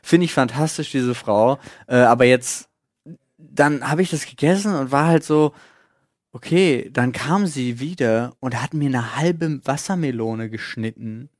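A man's voice: medium pitch, a little slow, dry recording, studio quality. A man co-hosting a podcast, telling personal anecdotes in casual, colloquial chat. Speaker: podcast co-host.

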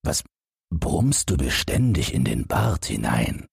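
A man voicing a gruff, curious carter in a fairy tale, with a brusque way of talking. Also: a bass voice, big pitch swings, slow pace, no room sound, studio quality, heavily acted.

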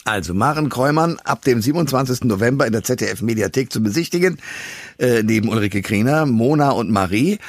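A man's voice: medium pitch, animated, fast, dry recording. Dry-sounding male radio host. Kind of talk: conversational radio interview.

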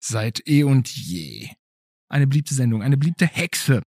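Husky man hosting with lively intonation. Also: medium pitch, unhurried, no room sound, studio quality. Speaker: podcast host. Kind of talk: casual interview with a child.

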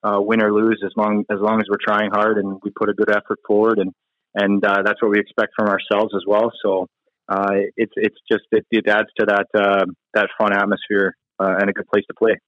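A man giving casual, halting interview answers as a hockey player. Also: fairly low pitch, monotone, no echo, dull audio. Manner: hesitant, halting, frequent you-knows. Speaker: professional ice hockey player being interviewed.

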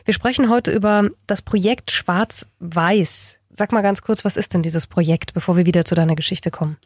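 A woman's voice: lowish voice, normal speed, slightly rough, no echo. Woman host, interviewer, friendly questions, dry close sound. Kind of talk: interview talk.

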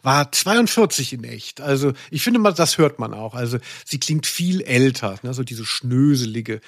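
A man's voice: medium pitch, animated, moderate pace, dry recording, studio quality. A man chatting casually and humorously as a podcast host. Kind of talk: casual humorous chat about audio plays.

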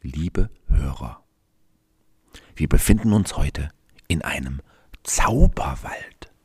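A man narrating a children's radio play as a measured storyteller who addresses the listeners. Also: very deep voice, lively intonation, darkish tone, some chatter behind, slight background noise.

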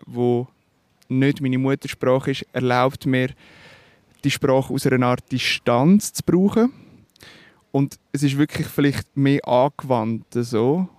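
Swiss German musician guest, mid-pitched man, searching his words, dry close mic. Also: a little mellow, dry recording. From Switzerland. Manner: thoughtful, hesitant, many fillers and restarts. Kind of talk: reflective interview talk.

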